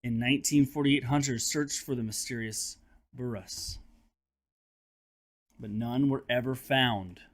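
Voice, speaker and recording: A man who teaches third grade reads a children's nonfiction book aloud in an expressive, teacherly manner, addressing his young listeners directly. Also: mid-range voice, unhurried, long pauses.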